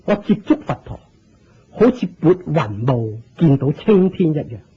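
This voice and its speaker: An actor with a Hong Kong Cantonese accent playing an earnest, reverent elderly religious master turned disciple in a Buddhist radio drama, speaking in a solemn, deliberate, declamatory way. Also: male voice, on the high side, big pitch swings, mellow, a little hiss or hum. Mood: fervent, reverent. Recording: dull lo-fi radio drama recording.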